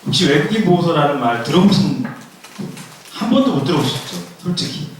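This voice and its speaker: A man with a cold, his voice hoarse, giving a forum talk and explaining plainly, repeating key words and asking the audience questions. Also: a little high, expressive, fairly quick, a little hiss or hum. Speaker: forum presenter. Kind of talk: public lecture. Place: forum room. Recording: echoey room, far from the mic.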